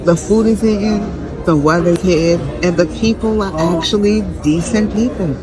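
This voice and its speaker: A woman's voice: on the low side, moderate pace, over music, poor audio. A woman giving casual travel vlog commentary in a blunt, slangy, profane way. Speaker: travel vlogger and podcast host.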